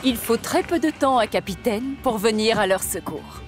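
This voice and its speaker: A woman's voice: a little high, animated, moderate pace, music in the background, lightly acted. A woman as the storyteller of a children's train cartoon, reading scripted storybook narration. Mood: storytelling.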